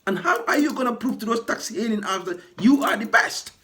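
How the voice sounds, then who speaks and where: A man who works as a ride-hailing driver, hoarse from losing his voice, delivering an indignant, repetitive rant to camera for his vlog. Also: very high voice, lively intonation, a little fast, pushed and bright. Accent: British. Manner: indignant, repetitive, building to impassioned outbursts.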